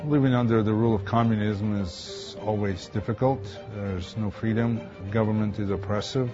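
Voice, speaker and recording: A middle-aged man with a Ukrainian accent, born in Ukraine, speaking earnestly and haltingly in a testimonial interview. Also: fairly low pitch, fairly even intonation. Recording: dull lo-fi audio with music behind.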